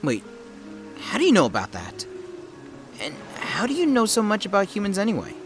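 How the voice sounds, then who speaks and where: A man's voice: high voice, huge pitch swings, normal speed. A man playing a young former police officer in an audio drama, earnest, hesitant and often puzzled. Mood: confused. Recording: background music under the voice.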